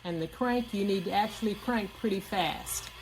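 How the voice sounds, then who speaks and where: A woman giving a casual, explanatory product demonstration in plain words, often pausing mid-sentence. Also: mid-range voice, slight background noise, low-quality recording. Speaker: product reviewer.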